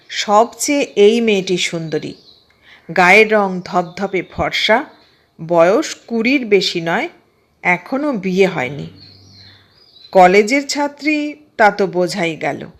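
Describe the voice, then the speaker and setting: A woman reads a literary story aloud in a measured, expressive storytelling manner. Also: mid-range voice. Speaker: audiobook narrator. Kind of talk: scripted literary story narration.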